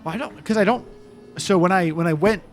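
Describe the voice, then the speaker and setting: A man's voice: high voice, a little fast, faint music behind. A man playing a tabletop game, chatting casually in a musing, questioning way.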